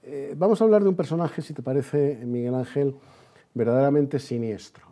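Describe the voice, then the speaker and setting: A man's voice: medium pitch, moderate pace, dark tone. A man with an accent from Spain hosts an opinionated history discussion, speaking in an emphatic, sardonic manner and repeating phrases. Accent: Spanish from Spain. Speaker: host and interviewer.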